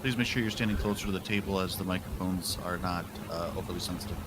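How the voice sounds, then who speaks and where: A man acting as a judge in a roleplay court, moving briskly through procedure. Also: deep voice, heavy background noise.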